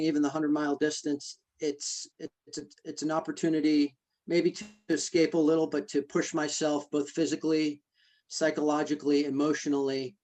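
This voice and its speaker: A man answering interview questions in a halting, reflective way, with many fillers and restarts. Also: fairly high pitch, darkish tone. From United States. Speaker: guest, ultrarunner. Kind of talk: casual interview conversation.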